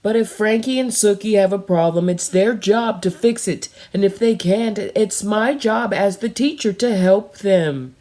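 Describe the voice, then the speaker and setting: A woman voicing a patient female pig schoolteacher in a children's storybook, explaining things in a calm, measured, reassuring way. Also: medium pitch, close to an everyday voice. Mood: kindly instructive.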